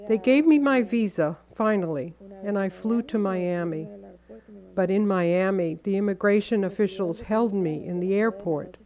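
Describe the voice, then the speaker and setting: A woman reading a translated first-person account as a measured, earnest voice-over. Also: mid-range voice, lo-fi sound.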